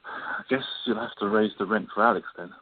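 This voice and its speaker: A man's voice: fairly low pitch, a little fast, husky. A man playing a joking, boyish friend who is awkward and nervous in love, in an amateur radio play. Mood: awkward, light. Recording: thin phone-like line.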